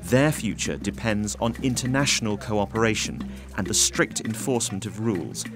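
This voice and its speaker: A man giving a scripted documentary narration in a measured, even voice. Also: on the low side. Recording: voice-over with music bed. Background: music.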